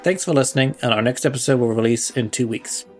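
A man's voice: medium pitch, quick pace. A man reading scripted narration as a podcast host, with music behind his voice.

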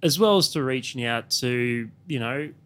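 Halting podcast co-host. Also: male voice, medium pitch, animated, fairly bright tone, clean tone. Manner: halting, repeats words. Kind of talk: casual chat.

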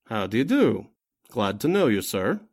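A man's voice: lowish voice, big pitch swings, moderate pace, darkish tone. A man playing a polite, kindly foreign doctor in a 1940s-style radio drama. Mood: courteous. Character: courteous, kindly Norwegian doctor, a visiting speaker.

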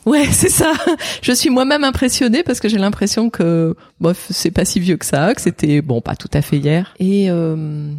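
A woman in her fifties from France, a pastor, telling her story in a reflective, hesitant way with many pauses and fillers in an interview. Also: lowish voice, darkish tone. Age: 50s. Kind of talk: interview conversation. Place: indoors, office.

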